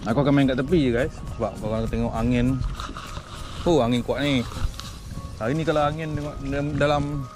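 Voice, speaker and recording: A man in his thirties with a Malaysian accent, chatting casually and colloquially to viewers as an outdoor fishing vlogger. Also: mid-range voice. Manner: colloquial, addresses viewers directly. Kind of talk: casual outdoor vlog chat. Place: outdoors at a shore and on a kayak at sea.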